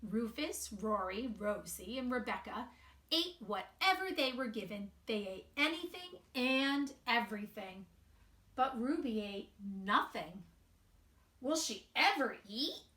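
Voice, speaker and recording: A woman reading a children's picture book aloud in a deliberate, expressive way with emphatic pauses. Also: fairly high pitch. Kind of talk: read-aloud children's storytelling. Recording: roomy, echoing sound.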